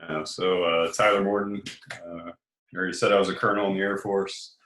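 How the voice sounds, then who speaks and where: Male guest, late 40s, very low and deep, unhurried and measured, reverberant room sound. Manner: steady, measured, frequent "uh" fillers. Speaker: podcast guest, Air Force colonel. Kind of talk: casual interview chat.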